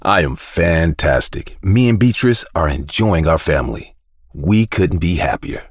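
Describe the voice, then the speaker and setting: Deep, husky contented family man played by an actor. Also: lively intonation, a little slow, no echo, clean studio sound, heavily acted. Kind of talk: audio drama acting.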